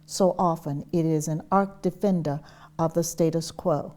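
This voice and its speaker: Female reader, warm mellow tone, fairly low, for a historic text. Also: fairly even intonation, moderate pace. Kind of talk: public reading of a historic letter.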